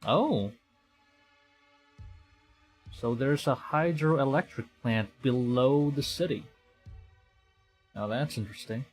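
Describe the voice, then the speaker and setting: A male game streamer from the Philippines with a mid-pitched voice reads a visual novel aloud. He moves between dramatic narration and muttered asides. Game music plays behind him. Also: slow, long pauses, husky. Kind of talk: game stream, reading story text aloud with reactions.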